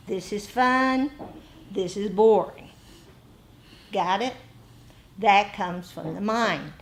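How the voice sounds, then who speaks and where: Slow-paced American woman teaching meditation. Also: medium pitch. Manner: conversational, pausing often between short phrases. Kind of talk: informal dharma talk to a group.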